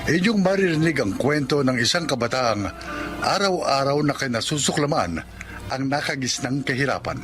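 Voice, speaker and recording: A man announcing a radio drama in a scripted, measured, theatrical style. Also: medium pitch, expressive. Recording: loud music bed behind. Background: music.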